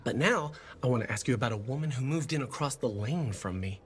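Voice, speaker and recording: An actor playing a friendly, nervous bartender in a video game, chatty, earnest and a little hesitant. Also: male voice, medium pitch, lively intonation, fast, natural voice.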